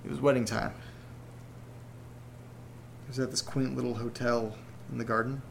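An actor playing a rattled young man who rambles haltingly through a personal audio diary in a self-deprecating way. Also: medium pitch, flat delivery, creaky, a little hiss or hum, close to an everyday voice. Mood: wistful, wry. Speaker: narrator character of a horror audio drama. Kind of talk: confessional audio diary monologue, conversational.

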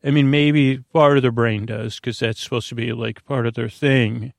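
A man with a creaky voice, improvising a meandering, halting bedtime podcast monologue full of asides and self-interruptions. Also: medium pitch, steady tone, dry recording. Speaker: podcast host.

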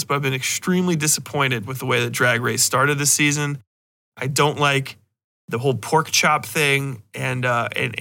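A man's voice: mid-range voice, pushed and bright. A man hosting a podcast, chatting in a casual, rambling way with emphatic swearing. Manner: profane, emphatic. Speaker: podcast host.

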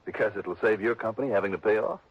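An actor playing a dry, probing insurance investigator who also narrates, in a 1960s radio drama. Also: male voice, lowish voice, fast talker, breathy edge. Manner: dry, needling questions. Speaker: narrator and lead detective. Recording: dull lo-fi old radio broadcast.

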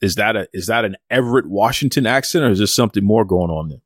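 Low-voiced male interviewer, dry sound. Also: lively intonation, quick pace, no echo. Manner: warm encouraging questioner, repeats words. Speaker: podcast host. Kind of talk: interview questions.

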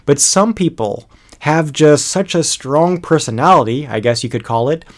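A man's voice: on the high side, normal speed. Casual man explaining history. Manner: conversational with frequent fillers and restarts. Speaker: history educator.

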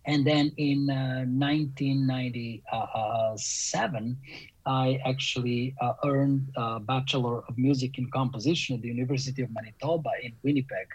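Husky Serbian-accented man, halting answers. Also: medium pitch, steady tone, darkish tone, dry recording, highs cut off. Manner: halting, many fillers and restarts, reflective. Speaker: composer and music professor. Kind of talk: interview answer.

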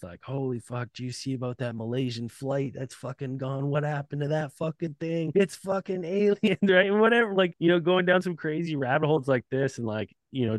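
A man in the guest chair of a casual podcast, talking loosely with plenty of swearing and easy laughter. His voice sits at an ordinary middle pitch. The recording is close and dry, with no room sound. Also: no room sound. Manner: laid-back, profane, laughs often. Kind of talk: casual chat.

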